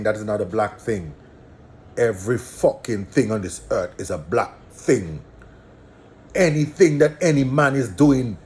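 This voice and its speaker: A man with an Antiguan accent talks through his views in a loose, preachy monologue. His voice sits fairly low, and he hammers points home by repeating them. A faint hiss runs under the recording. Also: darkish tone. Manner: preachy, emphatic, repeats phrases for stress. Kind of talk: casual monologue.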